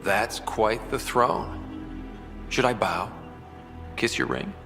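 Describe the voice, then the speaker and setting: A man's voice: on the low side, sing-song, takes it slowly, gravelly, exaggerated voice. A man playing a confident, dry young space explorer in a video game, matter-of-fact and wry. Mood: mocking. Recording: music and effects behind.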